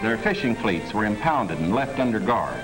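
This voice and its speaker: An American man reading scripted 1940s wartime documentary narration in a measured, formal manner. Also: fairly low pitch, lively intonation, rough recording. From United States. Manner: measured, formal, declarative delivery. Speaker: government film narrator. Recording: 1940s film soundtrack with music underneath. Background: music.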